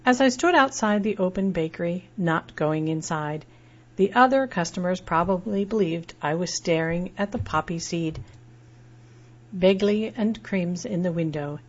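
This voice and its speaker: Husky-voiced woman reading a personal essay. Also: fairly low pitch. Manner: measured and reflective, spells out words letter by letter. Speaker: essay narrator. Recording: dull lo-fi recording.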